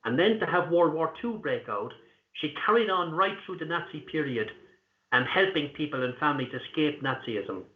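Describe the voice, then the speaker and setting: A man with an Irish accent answering interview questions in an earnest, deliberate way, pausing often with fillers. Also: a little high, expressive. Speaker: interview guest, activist. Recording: tinny echoey call line.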